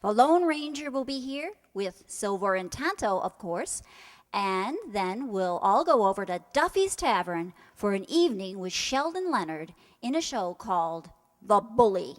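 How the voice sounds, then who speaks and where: A woman with a medium-pitched voice gives an event announcement to an audience. Her delivery sounds scripted, as from a radio drama performer promoting a coming show. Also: sing-song, measured pace, big-room sound.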